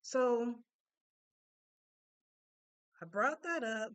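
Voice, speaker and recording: Woman, slight Panamanian Spanish accent, animated, conversational, storytelling monologue. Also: a little high, very slow, smooth. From born in Japan, Panamanian family. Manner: animated, conversational, frequent "right?" asides. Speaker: self-help speaker.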